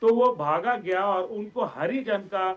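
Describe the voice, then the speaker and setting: Male guest pundit, Pakistani accent, high-pitched, emphatic explainer, muffled low-fidelity sound. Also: expressive, a little slow. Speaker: guest commentator, organisation chairman. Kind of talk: television political commentary.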